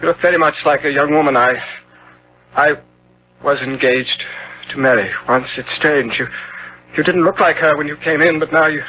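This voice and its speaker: High-voiced, tormented gentleman, old radio. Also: husky. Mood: dazed agitation. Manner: halting, theatrical, repeats words. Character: tormented, guilt-ridden gentleman, frantic and cursed. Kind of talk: 1970s radio drama acting. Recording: muffled, noisy 1970s radio recording.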